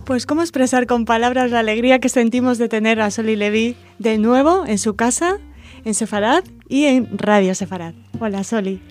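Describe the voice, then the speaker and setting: A woman's voice: on the high side, normal speed, darkish tone, soft background music. A woman, speaking conversationally as a radio interviewer in a studio.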